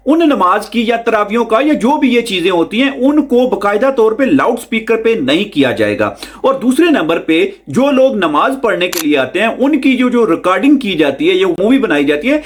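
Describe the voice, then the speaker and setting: High-pitched man explaining news. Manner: explanatory, repeats phrases for emphasis, addresses listener directly. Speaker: video host. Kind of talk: news explainer vlog, conversational commentary.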